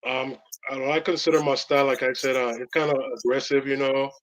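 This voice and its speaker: A Belizean man speaks in a level, monotone pitch with little rise or fall. He answers casually and hesitantly, stopping often on fillers as he gathers his thoughts. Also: poor audio. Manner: halting, many fillers. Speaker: rapper guest.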